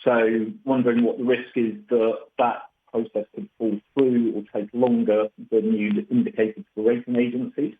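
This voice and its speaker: A man's voice: medium pitch, flat delivery, normal speed, few pauses. A man asking questions as a financial analyst on an earnings call, in hesitant, stop-start phrases. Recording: tinny phone line.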